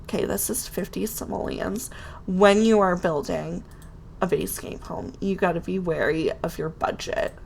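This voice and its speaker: Stuffy-nosed woman rambling thru a sims build, gravelly, slow talker, faint keyboard clicks. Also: on the low side, darkish tone, slight background noise. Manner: chatty, self-deprecating, talks to herself while building. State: congested from a cold, nasal. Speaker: Sims building video creator. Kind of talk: casual real-time build commentary.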